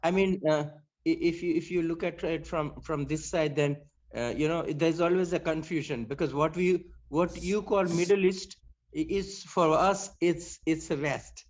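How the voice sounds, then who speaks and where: A man's voice: fairly high pitch, moderate pace. A man from Gujarat in India joining a workshop over a video call, hesitant and full of fillers. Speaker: workshop participant. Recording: muffled video-call audio.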